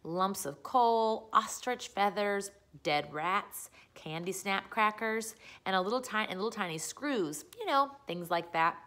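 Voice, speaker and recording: A woman's voice: medium pitch, animated, measured pace, few pauses, a bit bright. A bold, carefree little girl from a children's storybook , acted out by a woman reading aloud. She speaks in an emphatic, breezy way. Everything she says comes out matter-of-fact and cheeky. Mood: confident, breezy.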